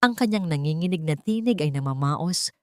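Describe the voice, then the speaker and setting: A woman's voice: low voice, animated, fairly quick, a little mellow, no echo, studio quality. A woman narrating a scripted audio drama in a solemn, melodramatic storytelling manner.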